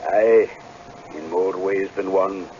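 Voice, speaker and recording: A man's voice: deep voice, fairly even intonation, slow pace, mellow. An actor playing a fearful, superstitious old man with a Scottish accent in a radio drama. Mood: fearful, grim. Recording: muffled old radio recording with hiss.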